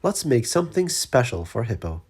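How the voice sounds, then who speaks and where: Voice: medium pitch, big pitch swings, moderate pace, a little mellow, breathy edge. A storyteller voicing a playful, impulsive, cheeky female duck in a children's storybook, bubbly and exclamatory.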